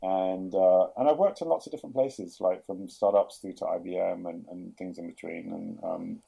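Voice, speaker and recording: A middle-aged man with a London accent, talking through his career in a podcast interview, often pausing with fillers and restarting sentences. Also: sits low, gravelly, mellow, slightly rough. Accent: London English. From England. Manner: hesitant, many fillers and restarts. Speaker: guest, product executive.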